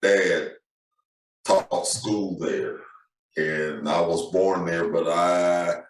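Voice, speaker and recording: Man, deep voice, relaxed storyteller, distant and echoey recording. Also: extremely slow. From Jonesboro, Tennessee. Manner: relaxed storytelling, laughs easily. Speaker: panel guest. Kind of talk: casual panel conversation. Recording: echoey room from far off.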